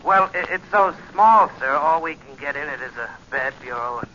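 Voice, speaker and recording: Courteous hotel clerk, telephone effect, very sharp tone, dull hissy 1940s radio sound. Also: male voice, on the high side, animated, normal speed. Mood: deferential. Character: polite, deferential male hotel desk clerk. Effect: telephone filter. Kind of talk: 1940s radio drama acting.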